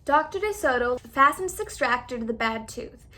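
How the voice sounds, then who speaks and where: A woman reading a children's story aloud as an expressive narrator. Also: sits high, normal speed.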